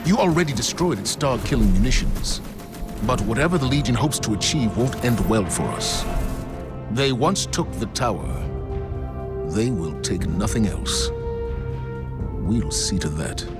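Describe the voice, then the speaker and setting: A stern military commander. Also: male voice, on the low side, expressive, measured pace, pauses often, loud music behind the voice. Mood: resolute. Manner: grave, determined, clipped orders. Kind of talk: video game cutscene dialogue. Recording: game audio with loud score.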